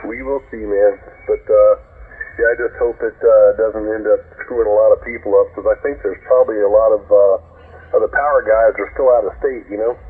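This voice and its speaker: A man's voice: fairly low pitch, steady tone, fairly quick, husky. A man on a ham radio, chatting in a laid-back, easygoing way, calling others man and brother. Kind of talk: casual radio chat. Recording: tinny shortwave radio with static.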